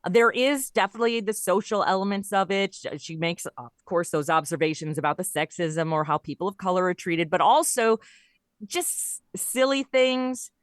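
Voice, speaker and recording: A woman's voice: medium pitch, normal speed, no echo, studio quality. A middle-aged woman, chatting casually and jokily as an interview guest. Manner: chatty, jokey, informal. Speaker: podcast guest, comedy writer and audio performer.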